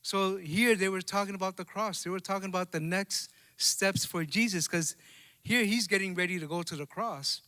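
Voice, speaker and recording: A man's voice: high voice, moderate pace, pushed and bright. A man with a Samoan accent preaching a bilingual sermon at a church service, conversational and checking in with the congregation. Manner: conversational preaching with tag questions. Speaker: pastor.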